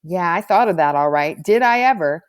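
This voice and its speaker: A woman's voice: on the low side, expressive, moderate pace, clean tone, dry recording, lightly acted. A brash schoolboy from a storybook, voiced by a woman, full of slang and bluster. He boasts and justifies himself at every turn. The sound is close and dry. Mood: indignant, self-justifying. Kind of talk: storybook character voice.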